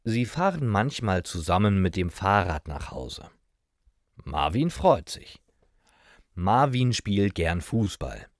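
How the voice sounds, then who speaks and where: A man's voice: sits low, dry recording, studio quality. A man reading a simple scripted story as a narrator for beginner language learners, in short, careful sentences. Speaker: narrator of German learning stories.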